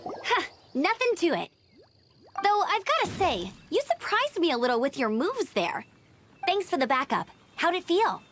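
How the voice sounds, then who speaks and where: A woman's voice: very high-pitched, sing-song, moderate pace, a bit bright, a little hiss or hum, exaggerated voice. A woman playing an eager, upbeat young female knight and outrider in a video game, earnest and helpful in manner. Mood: friendly, breezy. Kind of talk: English video game voice acting. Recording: game music behind the voice.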